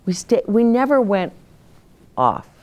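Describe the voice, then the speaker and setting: An older woman hosting a children's story time, speaking simply and expressively to young children as she shares stories and advice. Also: medium pitch. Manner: simple, expressive, addressing children directly, with dramatic pauses.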